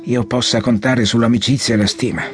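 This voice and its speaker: An actor in an audiobook drama playing an ailing, proud old general, commanding and grandiloquent in manner. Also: male voice, mid-range voice, steady tone, fairly quick, natural voice. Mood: moved, weary. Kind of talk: audiobook radio drama acting. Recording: music behind the voice.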